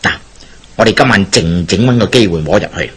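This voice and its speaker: A man playing a confident schemer bent on justice in a Hong Kong Cantonese radio drama, explaining persuasively in a husky voice. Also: sits low, expressive, brisk, a bit bright, full character voice.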